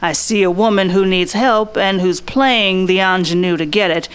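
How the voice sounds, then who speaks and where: A woman's voice: medium pitch, normal speed, clean tone, close to an everyday voice. A woman playing a blunt, observant 1940s private eye in a noir radio drama, talking tough, plain slang. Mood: probing, blunt. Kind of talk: noir radio drama acting with inner monologue.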